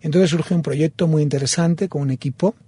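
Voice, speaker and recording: A man's voice: a little high, fairly quick, mellow, breathy edge. A man with a Castilian Spanish accent, a radio interview guest in a studio booth, speaking fluently and evenly. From Spain, Madrid. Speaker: interview guest, coaching school president.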